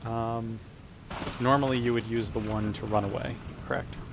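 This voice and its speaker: A man's voice: medium pitch, little pitch movement, normal speed, background noise. A man playing a tabletop game, chatting casually and thinking aloud in a hesitant way.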